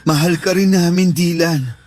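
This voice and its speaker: A man's voice: a little high, normal speed, darkish tone, no echo, lightly acted. A man playing a protective, forgiving older son in a radio drama, with earnest, emotional delivery. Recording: faint music bed behind the voice.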